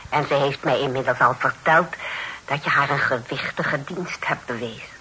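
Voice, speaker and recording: Husky, preachy, pious old aunt. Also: female voice, rumbling low, normal speed, sharp tone, full character voice, poor audio. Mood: stern, probing. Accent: Netherlands Dutch. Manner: sanctimonious, declaiming in biblical phrases. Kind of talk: Dutch radio drama acting.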